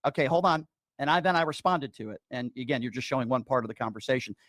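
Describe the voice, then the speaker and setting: A man's voice: medium pitch, expressive, quick pace, a bit bright, no echo. A man in a heated debate, speaking combatively and cutting in on his opponent. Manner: combative, interrupting.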